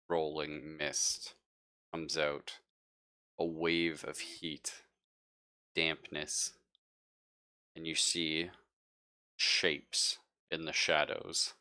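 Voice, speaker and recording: A man narrating a horror tabletop game as game master, improvising in a hesitant, halting way. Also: a bass voice, pushed and bright.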